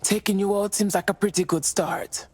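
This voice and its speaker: An actress playing a resolute, confident resistance fighter in a video game cutscene. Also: female voice, mid-range voice, steady tone, moderate pace, a little mellow, breathy edge, no echo, lightly acted. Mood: firm, defiant.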